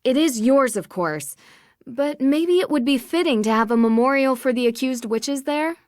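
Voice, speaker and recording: A woman's voice: sits high, expressive, normal speed, darkish tone, no room sound, clean studio sound. A young woman voicing a level-headed, polite, earnest female detective in a video game. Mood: kind, encouraging.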